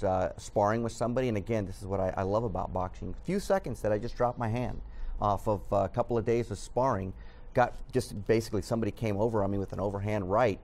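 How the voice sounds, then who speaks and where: A man's voice: fairly low pitch, darkish tone. A middle-aged man whose first language is Spanish, a news editor talking earnestly as a guest in a studio TV interview, with many fillers. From Southern California.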